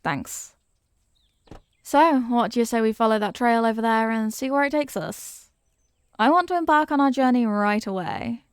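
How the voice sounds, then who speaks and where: Woman acting a sweet, wistful childhood friend, higher-pitched, close-up. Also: normal speed, clear, resonant tone, no room sound, close to an everyday voice. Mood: warm, eager. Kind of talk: scripted ASMR roleplay spoken to the listener.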